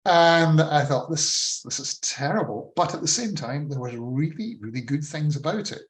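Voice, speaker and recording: A man's voice: fairly high pitch, expressive. Scottish man in an echoey room. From Scotland. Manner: measured, many filler uhs and ums. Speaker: presenter on a funding model. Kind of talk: talk with screen-shared slides.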